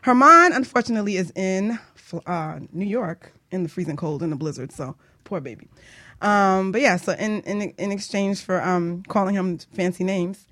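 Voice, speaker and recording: A woman's voice: medium pitch, moderate pace, pushed and bright, no room sound. Female radio host, business coach type. Informal, playful, chatty, recorded dry in studio. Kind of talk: casual radio talk show chat.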